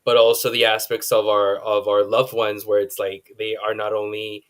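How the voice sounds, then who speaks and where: A man moderating a panel discussion, speaking in a measured, affirming way with frequent ums. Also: on the low side, flat delivery.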